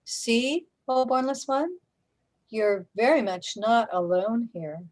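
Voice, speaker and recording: A woman's voice: fairly high pitch, expressive, slow. A woman playing a placid, reassuring resident of a surreal street in a radio play, speaking matter-of-factly.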